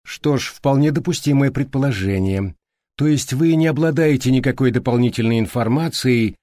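A man voicing a courteous, thoughtful Belgian detective in an audiobook, polite and deliberate. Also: medium pitch, warm tone, dry recording, natural voice. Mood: polite, inquisitive.

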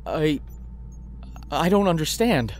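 A man's voice: fairly high pitch, very animated, takes it slowly, exaggerated voice. An actor playing a flustered, hesitant, polite everyman customer in a comedy audio drama. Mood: confused. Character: flustered, bewildered everyman insurance customer named Ed.

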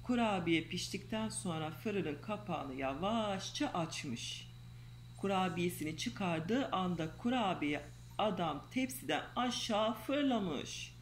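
A mother who is losing her voice, gently and slowly reading a children's storybook aloud at home. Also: on the low side, animated, slight background noise. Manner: gentle, coaxing, reads slowly. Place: home bedroom, indoors.

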